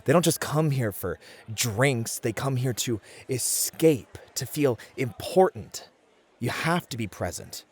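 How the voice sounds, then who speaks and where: A man acting in an ASMR roleplay as a smooth, confident, flirty club host who reassures and charms. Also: medium pitch, expressive, a little slow. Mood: reassuring, suave.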